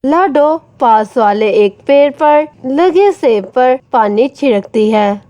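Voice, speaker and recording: A woman's voice: high-pitched, expressive, slow, warm tone, no echo, lo-fi sound. A woman narrating a scripted children's story.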